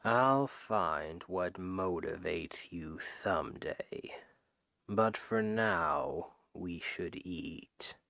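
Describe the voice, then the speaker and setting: Slow, gravelly demon king acted by a man. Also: sits low, big pitch swings, pushed and bright, exaggerated voice. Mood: weary, condescending. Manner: slow, deliberate, persuasive, condescending. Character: male demon king, smooth-talking, manipulative, self-justifying captor. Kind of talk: ASMR roleplay, scripted dramatic monologue. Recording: long hall-like reverb.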